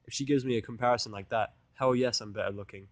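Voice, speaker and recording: A man narrates a first-person audiodrama in a conversational way, with blunt, sardonic phrasing. His pitch is middling and the sound is very dry, with hardly any room to it. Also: fairly even intonation, quick pace, no echo. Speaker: fan fiction reader and narrator.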